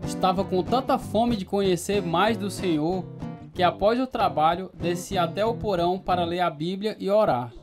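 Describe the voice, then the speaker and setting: A man's voice: sits high, moderate pace, lightly acted. An actor as earnest man narrating over guitar music. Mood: reflective narration. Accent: Brazilian. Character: earnest male new convert recounting his past. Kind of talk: scripted radio drama narration and dialogue.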